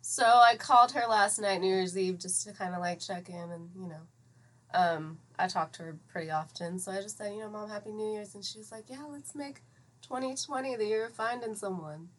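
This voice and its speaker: A woman in her thirties from the United States, talking reflectively and in measured explanations as a guest in a conversational interview. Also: mid-range voice, a bit bright. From United States (Atlanta, Georgia). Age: 30s. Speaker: podcast guest, psychiatric pharmacist.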